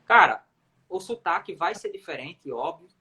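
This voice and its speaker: A man's voice: sits high, lively intonation, moderate pace, bright, forceful tone. A man with a Brazilian accent, a native Portuguese speaker, explaining things emphatically in a casual livestream chat. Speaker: self-taught English coach on a livestream.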